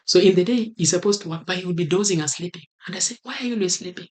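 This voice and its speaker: A man's voice: sits high, rapid-fire. A man from Kenya with a Kenyan accent, talking earnestly to camera and repeating his key advice for emphasis. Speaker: vlogger. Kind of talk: motivational talk to camera.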